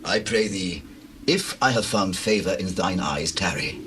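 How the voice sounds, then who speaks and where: Older patriarch herd owner, scriptural audio drama. Deep, measured, courteous negotiator; noisy bird-filled scene behind. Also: male voice, lively intonation. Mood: courteous bargaining. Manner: measured, archaic scriptural phrasing. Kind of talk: dramatized Bible reading, audio drama acting.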